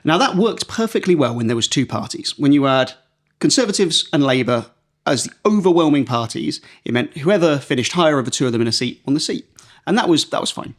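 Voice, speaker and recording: A man's voice: fairly high pitch, animated, brisk. A man hosting a political podcast, explaining things step by step in an informal, chatty way. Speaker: podcast host, political journalist.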